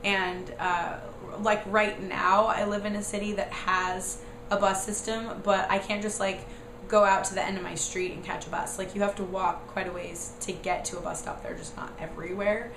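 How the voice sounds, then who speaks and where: A young American woman, hoarse from a cold, with a raspy, squeaky voice, casually rambling through a vlog with lots of "like" and "um". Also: level tone, normal speed. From United States. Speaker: vlogger. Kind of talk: casual chatty explanation. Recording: echoey room with noise.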